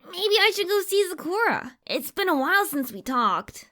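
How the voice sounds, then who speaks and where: A woman voicing a curious, brash young pony filly who spooks easily, in expressive acted dialogue for a fan fiction audio story. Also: extremely high pitch, normal speed, heavily acted. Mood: musing. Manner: youthful, expressive, talking to herself.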